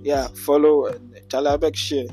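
Relaxed young podcast host. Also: male voice, on the high side, moderate pace, soft background music. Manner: relaxed, easygoing, drops local slang. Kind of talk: casual chat.

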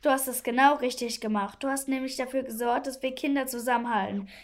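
A woman's voice: high-pitched, fairly even intonation, fast. A woman playing a confident, supportive child detective in a children's audio drama, speaking with assurance. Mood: reassuring.

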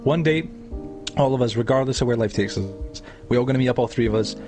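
A man's voice: mid-range voice, fast talker, over music. A Scottish man from Edinburgh hosting a casual podcast chat over an online call, rambling with lots of restarts and fillers. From Scotland. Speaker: podcast host.

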